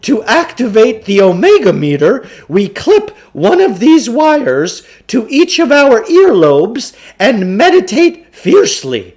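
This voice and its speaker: A man reading a children's novel, voicing a pompous, theatrical, eccentric salesman who lectures with deliberate self-importance. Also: very high voice, wildly swooping pitch, a little mellow, pitched above the performer's own voice, exaggerated voice. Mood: grand lecturing. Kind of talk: children's novel read aloud in character.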